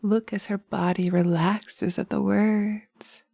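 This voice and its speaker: A woman playing a teasing, sweetly sinister witch in a hypnosis roleplay, coaxing slowly and soothingly into a close binaural mic, often dropping to a whisper. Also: medium pitch, little pitch movement, vocal fry, warm tone, dry recording. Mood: hushed, hypnotic. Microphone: close binaural microphone.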